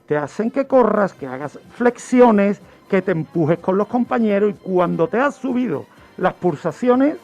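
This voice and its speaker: High, creaky-voiced man telling stories. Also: lively intonation, unhurried, few pauses, a little mellow. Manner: emphatic, explanatory, asks rhetorical questions. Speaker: criminologist, regular true-crime narrator on a radio show. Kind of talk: storytelling radio commentary. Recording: dull lo-fi radio audio with music.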